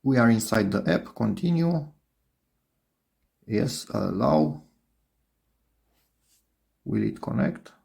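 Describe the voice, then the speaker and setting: Creaky-voiced man casually demoing a gadget. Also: medium pitch, dark tone. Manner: hesitant, thinking aloud. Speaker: DIY electronics video maker. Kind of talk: casual unscripted product demo.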